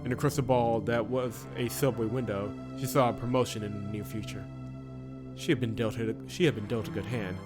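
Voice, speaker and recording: A man with a middle-pitched voice reads visual novel story text aloud as a let's play host. He is expressive and casual, readily breaking off to correct himself. Music plays clearly behind him. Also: fairly quick.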